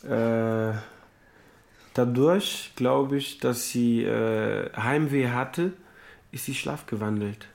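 A man retells a story spontaneously and haltingly, searching for his words. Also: medium pitch, steady tone, vocal fry, darkish tone. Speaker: interviewee.

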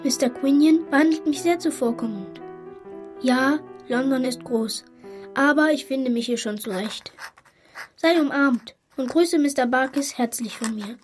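A woman's voice: sits high, a little slow, soft background music. A woman voicing a polite, brave, earnest young boy in a German radio drama. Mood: bravely reassuring. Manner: polite, well-bred, earnest.

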